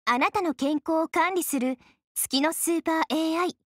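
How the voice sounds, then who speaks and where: A woman's voice: very high voice, normal speed, no echo, studio quality. A woman voicing a devilish young female AI in an anime game, coy and polite with a mock-sweet, sinister edge. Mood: teasing, smug. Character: teasing, devilish young female moon super AI, sweetly menacing. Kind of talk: Japanese anime game voice acting.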